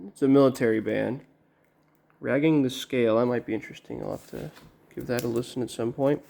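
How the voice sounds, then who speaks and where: Guy doing a laid-back collector unboxing, gravelly soft-edged voice, kinda halting, thinking out loud. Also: medium pitch. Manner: halting, musing to himself. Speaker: record collector presenting an unboxing. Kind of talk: casual unboxing commentary.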